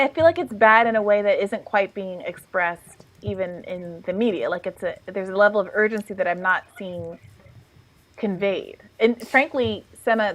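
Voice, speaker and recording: Woman, chatty panel talk, animated delivery, lots of fillers. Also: mid-range voice, low-quality recording. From lived in Kenya as a child. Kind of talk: casual panel chat.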